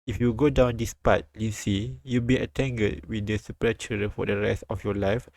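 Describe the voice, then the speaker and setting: A man streaming a live read-aloud of a visual novel, halting and repeating lines as he goes. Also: fairly low pitch, steady tone, darkish tone, no echo.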